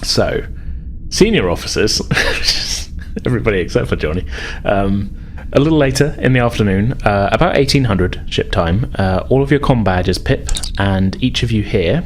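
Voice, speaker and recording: Low-voiced male game master, noisy recording. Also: expressive, normal speed. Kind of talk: narrating a tabletop role-playing game.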